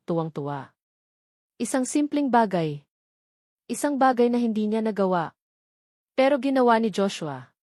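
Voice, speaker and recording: A woman's voice: mid-range voice, a little mellow, no room sound, studio quality. A woman with a Filipino accent narrating a scripted romance story as an audiobook.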